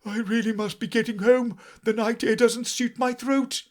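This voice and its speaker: A man's voice: extremely high pitch, little pitch movement, pitched above the performer's own voice. Man putting on a fussy old magpie. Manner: fussy, hurried.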